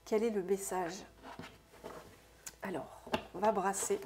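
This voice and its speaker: Slow-talking stuffy-nosed woman. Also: mid-range voice, steady tone, noisy background. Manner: soft, unhurried, musing aloud with frequent "hein". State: stuffy nose from a cold. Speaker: soul therapist and oracle card reader. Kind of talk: intuitive oracle card reading to viewers.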